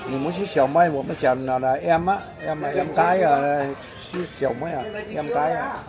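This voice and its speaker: A man's voice: fairly high pitch, normal speed. Older man, chatty. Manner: chatty, repeats words patiently to teach them. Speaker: local resident. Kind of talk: casual street chat. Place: street tea house. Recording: loud music and crowd.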